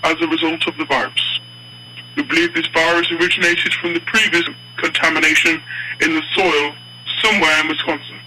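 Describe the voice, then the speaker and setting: Bright, forceful man playing a solemn vice president. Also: high-pitched, slight background noise, low-quality recording. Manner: solemn, deliberate, formal. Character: male vice president, solemn, earnest crisis leader. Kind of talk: scripted televised-style political address.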